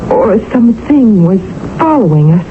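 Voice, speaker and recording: A woman's voice: medium pitch, sing-song, moderate pace, natural voice. An actress playing a refined young woman who fears for her fiancé, speaking earnestly in a 1970s radio drama. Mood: fearful. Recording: tinny old radio broadcast with hiss.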